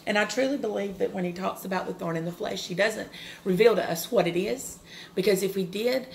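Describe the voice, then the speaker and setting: A woman in her forties with a loud, carrying voice, giving a personal devotional talk, earnest and open, with pauses and restarts. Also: mid-range voice, vocal fry, slight background noise. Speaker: devotional speaker.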